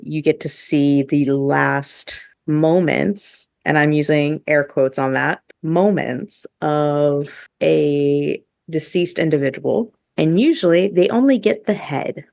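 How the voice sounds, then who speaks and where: A woman with a low, noticeably creaky voice chats as a podcast host. She is enthusiastic and informal, and her talk is full of "like". The sound is dry and close. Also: a little mellow, no echo. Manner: chatty, enthusiastic, heavy use of "like". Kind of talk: casual podcast review chat.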